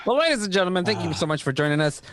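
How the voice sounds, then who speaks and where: A man's voice: high voice, sing-song, very fast, a bit bright, no echo. A man hosting a casual livestream chat, talking in a joking, rambling way. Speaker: show host and casting director.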